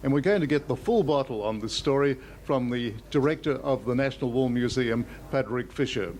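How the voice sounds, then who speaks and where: A man's voice: mid-range voice, a little fast, a little mellow, slight background noise. A man reporting and interviewing for local TV news in a conversational, folksy way.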